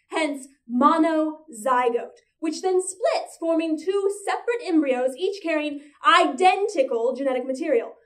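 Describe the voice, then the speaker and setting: A woman playing an exasperated young woman disguised as her twin brother, lecturing impatiently. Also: high voice. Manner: impatient, lecturing, spells things out. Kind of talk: audition monologue. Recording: voice distant from the mic.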